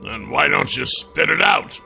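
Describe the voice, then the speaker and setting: A gruff dwarf warrior, put on by a narrator with a growling, blunt delivery, pitched a little above the narrator's own talk. The lines come with a gravelly edge and slow weight. Faint music sits under the storybook reading. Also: male voice, expressive, pushed and bright, exaggerated voice.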